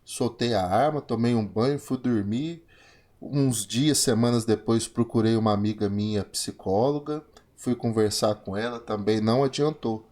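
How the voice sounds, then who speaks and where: A man's voice: mid-range voice, normal speed, a little mellow. Emphatic Brazilian man in his forties. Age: 40s. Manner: emphatic, repetitive, rhetorical questions. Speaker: trading course host. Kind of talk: motivational monologue.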